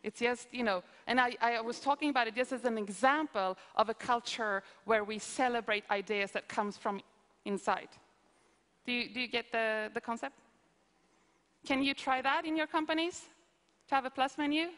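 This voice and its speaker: A woman's voice: on the high side, normal speed, a bit bright. Swedish-accented woman in a conference hall. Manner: hesitant, explains with examples. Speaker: conference speaker answering questions. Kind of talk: live Q&A on stage.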